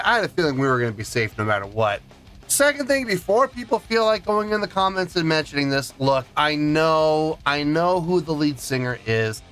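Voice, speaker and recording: Male music reaction host, slightly high-pitched, conversational reviewer who restarts and repeats points for emphasis, faint music underneath. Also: a bit bright. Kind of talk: casual song review to camera.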